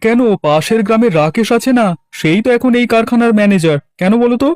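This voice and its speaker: A man's voice: very high voice, quick pace, darkish tone, clean tone, no room sound. A man voicing an eager, helpful village labourer in a cartoon, with a reassuring, deferential manner. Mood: friendly. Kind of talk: animated story voice acting.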